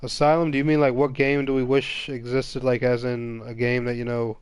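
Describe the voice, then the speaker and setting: A man's voice: mid-range voice, little pitch movement, normal speed, clean tone, no echo. A man with a cold and a stuffed-up voice, hosting a casual gaming stream and rambling to viewers. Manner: rambling, chatty, apologetic. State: congested with a cold. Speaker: stream host. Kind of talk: casual gaming podcast chat.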